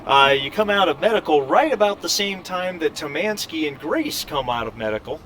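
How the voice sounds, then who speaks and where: A man running a tabletop roleplaying game as game master, speaking in a halting, thinking-aloud way. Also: fairly high pitch, animated, fairly bright tone. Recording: clearly noisy background hum.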